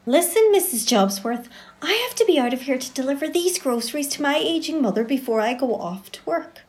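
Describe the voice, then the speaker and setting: A woman's voice: high voice, animated, a little fast, a little mellow. An actress playing an aggressive, foul-mouthed shopper in her forties who threatens and swears. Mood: indignant. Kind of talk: amateur audio drama.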